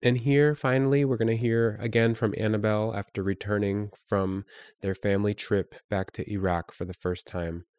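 Podcast host guy, smooth lowish voice, calm scripted intro. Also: normal speed, no echo, clean studio sound.

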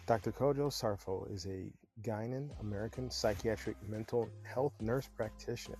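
A man's voice: on the low side, moderate pace, darkish tone, faint music behind. A man hosting a podcast, moving from a punchy scripted intro into loose, chatty interview questions. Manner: upbeat announcer-style delivery, loose and chatty in conversation. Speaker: podcast host. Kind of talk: scripted intro then casual interview chat. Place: Chicago-area podcast setup.

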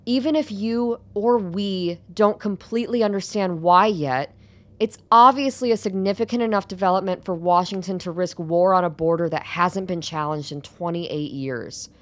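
An actress playing a resolute, guarded scientist in a radio drama, measured and insistent as she explains. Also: lowish voice, natural voice. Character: resolute, guarded woman scientist in a rebel movement.

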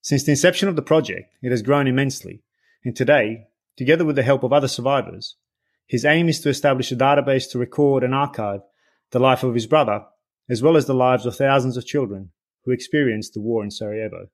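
A man from Bosnia and Herzegovina hosts a podcast in English, his second language. He reads a measured, scripted introduction, then asks questions in a loose way full of pauses and fillers. His pitch sits at an everyday middle level. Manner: measured, hesitant with many fillers when unscripted. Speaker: podcast host. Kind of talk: scripted guest introduction and interview.

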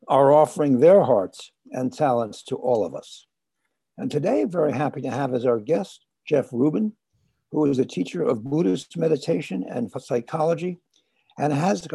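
A man hosts a podcast in a measured, earnest voice. His tone is gravelly and a bit husky, set somewhat high and warm. The sound is dry and close to the mic. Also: dry recording. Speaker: podcast host. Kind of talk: scripted intro, then interview.

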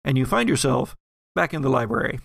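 A man's voice: medium pitch, expressive, fairly quick, gravelly, fairly bright tone, no room sound, studio quality. A man narrating a horror role-playing audio drama as game master, with descriptive, suspenseful storytelling.

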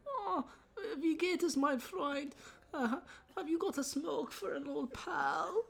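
A woman voicing a cagey male scrounger in a radio play, creaky, hesitant and wheedling. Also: high-pitched, slow, heavily acted. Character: shifty, cagey male old pal scrounging a smoke. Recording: music behind the voice.

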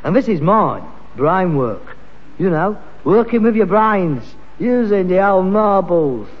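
An actor playing a pretentious, defensive grown son in a vintage British sitcom, with a London accent and a blustering delivery. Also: male voice, high voice, lively intonation, slow, in a large hall, highs cut off, heavily acted. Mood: smug, pompous.

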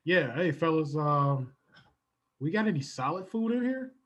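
A man playing a dopey, hungry stowaway in an improv comedy sketch, halting and repeating himself. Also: on the high side, very animated, fairly bright tone, heavily acted. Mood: sheepish.

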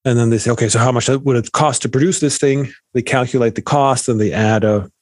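A man's voice: medium pitch, fairly even intonation, a little fast, dry recording, clean studio sound. A middle-aged man from Sweden, a professor answering interview questions thoughtfully, with many fillers and restarts. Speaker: economics professor guest.

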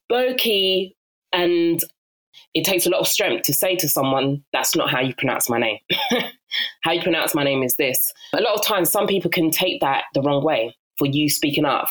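Woman from Ghana, young adult, very low voice; lively podcast guest who laughs easily. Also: low-quality recording. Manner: animated, laughs often, many fillers. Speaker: podcast guest, actress and narrator. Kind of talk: casual interview chat.

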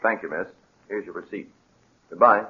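A man's voice: sits low, normal speed, narrow, tinny audio, natural voice. Actor as businesslike delivery man, thin old radio. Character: businesslike male delivery man collecting payment. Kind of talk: 1940s radio drama acting. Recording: thin, noisy vintage radio recording.

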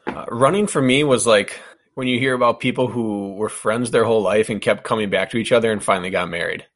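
A man's voice: on the low side, fairly quick, a bit bright. A man in his thirties from the American Midwest, co-hosting a podcast and chatting casually, often laughing as he tells stories. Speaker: podcast co-host, running coach.

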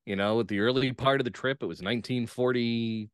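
A man talks casually and enthusiastically as a guest in an interview. His voice is mid-pitched and recorded very dry, with no room sound. He likes to punctuate his points with short checking questions. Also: brisk, smooth, no echo. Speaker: playwright and actor, podcast guest.

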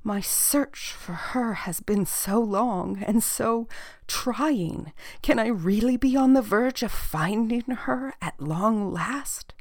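A woman voicing a weary, dramatic old woman in a fairy tale, with drawn-out, earnest delivery. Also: on the high side, dry recording, studio quality, voice pushed up. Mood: overcome, hopeful. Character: weary old woman, self-proclaimed cursed princess, dramatic.

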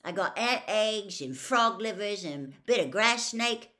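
A woman voicing a huge, cheerful half-giant gamekeeper in an audiobook, loud and enthusiastic. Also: medium pitch, animated, unhurried, pitched above the performer's own voice. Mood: hearty. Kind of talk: audiobook character voice.

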